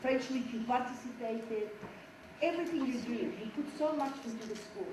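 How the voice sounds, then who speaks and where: A woman's voice: a little high, moderate pace. A woman teacher giving a heartfelt award speech to a student at a school assembly. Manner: warm, heartfelt praise. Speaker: French teacher. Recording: echoey hall, distant mic, background noise.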